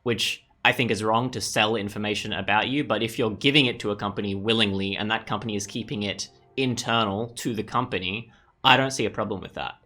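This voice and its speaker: Male walkthrough host, fairly deep and sharp-edged, casual, thinking out loud as he goes. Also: brisk. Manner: rambling, thinking aloud. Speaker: gaming video host. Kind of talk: casual game walkthrough commentary.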